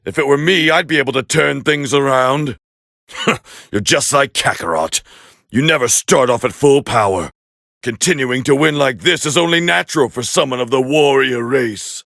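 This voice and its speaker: A man playing a proud, hot-tempered alien warrior prince with a raspy voice, calling fights with scorn in an anime video game dub. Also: medium pitch, normal speed, dry recording, studio quality. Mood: scornful, appraising. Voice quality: gravelly, raspy. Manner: haughty, scornful, biting, forceful. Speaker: fight commentator character.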